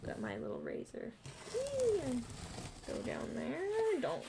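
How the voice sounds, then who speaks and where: Giggly woman with a gravelly voice. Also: fairly high pitch, wildly swooping pitch, slow pace, background noise. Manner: bubbly, giggly, talks to viewers. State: sick with flu. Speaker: vlogger and English teacher. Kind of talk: casual unboxing chat. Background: crinkling packaging.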